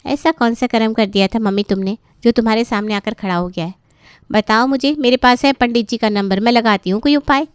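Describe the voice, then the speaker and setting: A woman's voice: on the high side, fairly quick, smooth, no room sound, natural voice. A woman voicing a chatty grown daughter who fusses over her mother in a cartoon story, full of questions. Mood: surprised. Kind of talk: animated moral story dialogue.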